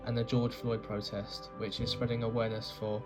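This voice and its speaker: A man's voice: fairly low pitch, level tone, fairly quick. A man reading earnest narration for his own short film about a hard year. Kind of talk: read narration. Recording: music bed under the voice.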